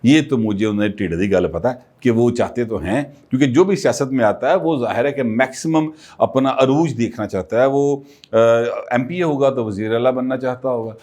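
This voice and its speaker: A man's voice: medium pitch, a little mellow. Chatty political commentator, man. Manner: conversational, many filler pauses.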